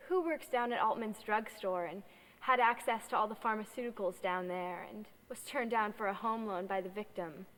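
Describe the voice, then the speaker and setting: Actress as sharp-tongued scorned woman, echoey hall. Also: mid-range voice, moderate pace, close to an everyday voice. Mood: combative, biting. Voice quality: combative. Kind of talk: film drama dialogue. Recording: echoey hall with faint noise.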